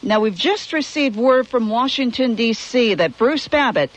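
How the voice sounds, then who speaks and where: An actress playing a composed radio news anchor handing off to live reports in a radio drama. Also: female voice, a little high, animated, poor audio. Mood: brisk. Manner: crisp, brisk news delivery.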